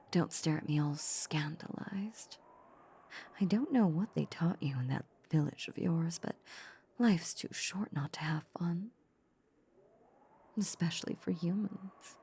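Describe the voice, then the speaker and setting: A woman playing a seductive, possessive female vampire in an intimate roleplay audio drama, teasing and condescending in a breathy half-whisper. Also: deep voice, slight background noise. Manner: slow, teasing, condescending purr. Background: soft ambient sound effects.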